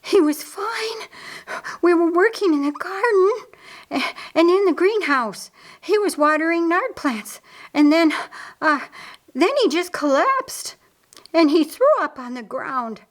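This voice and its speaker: A woman's voice: extremely high pitch, lively intonation, unhurried, pitched above the performer's own voice. A woman voicing a frantic young woman who fears for her brother, urgent and emphatic, in an audiobook. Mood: panicked, breathless.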